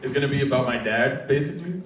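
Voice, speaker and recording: A man's voice: mid-range voice, fast talker. Young man telling a story in an echoey hall. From Pakistan. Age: young adult. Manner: casual, chatty, self-deprecating humor. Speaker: college student storyteller. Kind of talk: live comedic storytelling. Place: college event room with live audience. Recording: echoey hall, picked up from far off, noisy.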